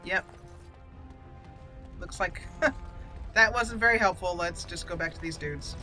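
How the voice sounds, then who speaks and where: Bright-voiced woman doing playful game commentary. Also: on the low side, takes it slowly. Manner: playful, thinking aloud. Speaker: gaming channel host, role-player. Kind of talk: casual let's play commentary with in-character lines. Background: faint game music.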